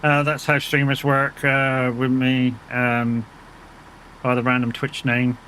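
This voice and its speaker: A British man streaming a game from home, chatting loosely with his viewers in a bright, forceful voice. He's reassuring and easygoing, drops the odd swear word and laughs often. A faint engine hum sits behind him. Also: mid-range voice, monotone, slow, low-quality recording. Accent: British English. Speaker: gaming streamer. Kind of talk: casual live-stream chat with viewers.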